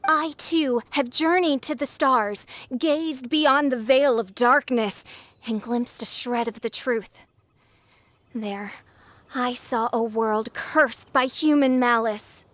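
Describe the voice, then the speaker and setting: A woman's voice: a little high, slow, fairly bright tone, no room sound. A woman voicing a self-styled teenage princess in a fantasy video game, pompous and melodramatic, with archaic, theatrical speech. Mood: ominous, dramatic. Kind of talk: video game character acting.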